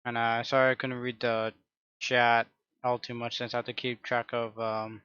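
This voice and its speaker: Flat-voiced man streaming a game. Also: mid-range voice, normal speed, smooth, no echo, muffled recording. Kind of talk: casual chat while gaming.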